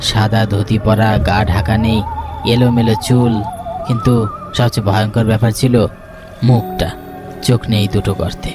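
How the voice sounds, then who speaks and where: A man's voice: on the low side, level tone, unhurried, pauses often. A man narrating a scripted ghost story. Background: background music.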